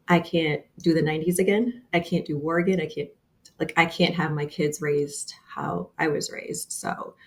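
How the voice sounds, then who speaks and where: A woman's voice: low-pitched, creaky. A woman in her thirties with a Bosnian accent, talking earnestly as a guest on a remote interview, often using fillers. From Bosnia and Herzegovina. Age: 30s. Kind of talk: casual interview.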